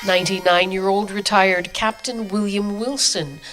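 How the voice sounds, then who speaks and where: Brief: woman reporter, mid-pitched, solemn news voice-over, faint music underneath. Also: fairly even intonation, normal speed, fairly bright tone. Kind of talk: scripted news narration.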